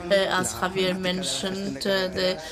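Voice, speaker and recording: A woman interpreting a conference talk into English, speaking haltingly with many drawn-out "uh" fillers between words. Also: lowish voice, monotone.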